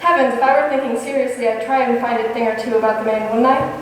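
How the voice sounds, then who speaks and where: A woman's voice: fairly high pitch, fairly even intonation, fast, natural voice. A woman playing a coy, flustered, lovestruck landlady who protests too much in a 1950s-style radio comedy. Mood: indignant, coy. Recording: echoey hall, distant mic, faint noise.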